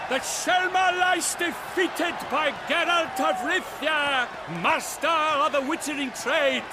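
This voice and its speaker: An actor playing a grandiose tournament speaker in a fantasy video game, proclaiming in a pompous, declamatory way. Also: male voice, very high-pitched, slow, background noise. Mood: grand, triumphant. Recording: loud music behind voice.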